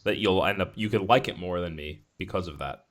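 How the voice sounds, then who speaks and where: Man, fairly low pitch, casual conversational co-host, reactive, exclaiming. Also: fairly quick, a bit bright. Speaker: podcast co-host. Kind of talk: casual chat.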